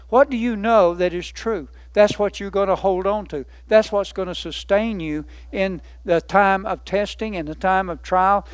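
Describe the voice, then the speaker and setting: A man's voice: high voice, no echo. A man preaching a sermon, emphatic, building lists by repetition and asking rhetorical questions.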